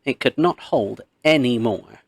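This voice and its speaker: A man reading a myth aloud as a storybook narrator, expressive and measured, with pauses. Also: medium pitch.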